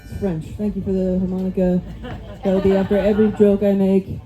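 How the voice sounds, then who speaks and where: Dark-toned American woman doing stand-up. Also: medium pitch, level tone, brisk, faint music behind, a faint crowd, low-quality recording. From United States. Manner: rambling, self-mocking delivery. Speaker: stand-up comic. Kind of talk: stand-up set of one-liners. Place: comedy club stage.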